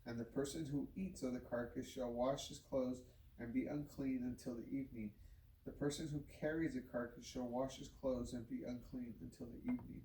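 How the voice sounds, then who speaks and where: A man reads scripture aloud in a measured, solemn manner with very little movement in pitch. He sounds set back from the microphone in an echoing room, and his delivery is that of formal recitation. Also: steady tone, warm tone. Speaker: scripture reader. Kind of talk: scripted Bible reading aloud. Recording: roomy, distant microphone, noisy background.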